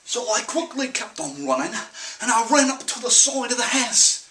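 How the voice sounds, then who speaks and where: An excitable, melodramatic farm lad. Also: male voice, extremely high pitch, pitched above the performer's own voice, heavily acted. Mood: breathless storytelling. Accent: put-on mix of Australian, Irish and English. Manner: melodramatic, drawn-out emphasis. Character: excitable young farm lad telling a panicked monster tale. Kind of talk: theatrical comic audition monologue. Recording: roomy camcorder sound, distant from mic.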